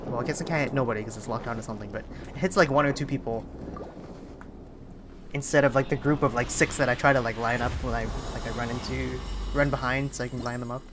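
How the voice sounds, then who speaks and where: A live streamer chatting casually while playing a game, thinking aloud in a halting way. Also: mid-range voice, fairly even intonation, noisy background. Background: video game music.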